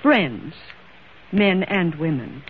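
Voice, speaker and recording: An actress playing a lonely, discontented wife in an old-time radio drama, restrained and wounded in delivery. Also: female voice, low-pitched, sing-song, drawn-out words, exaggerated voice. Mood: bitter, wounded. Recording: tinny, noisy old radio recording.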